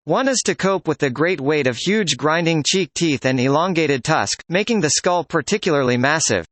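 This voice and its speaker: Higher-voiced man reading aloud. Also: expressive, normal speed, a bit bright, dry recording. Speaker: reader of practice passages. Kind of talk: read-aloud scripted narration.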